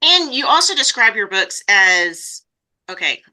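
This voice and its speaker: Woman podcast host with a very bright voice. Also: a little high, big pitch swings, unhurried, poor audio. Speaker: podcast host interviewing an author. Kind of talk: conversational interview.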